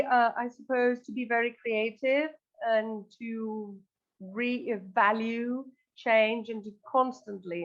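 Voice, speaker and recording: A woman's voice: a little high, muffled recording. A woman from northern Greece, answering interview questions earnestly and hesitantly, repeating words as she goes. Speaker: interview guest, band singer and lyricist.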